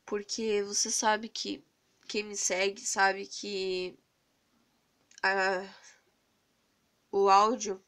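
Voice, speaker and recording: A young Brazilian woman with a cold, hoarse and stuffy-nosed, venting earnestly into her phone camera in a rambling casual vlog. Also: mid-range voice, level tone, very slow, pauses often, a bit bright. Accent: Brazilian Portuguese. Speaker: vlogger. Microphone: phone camera held close to the face.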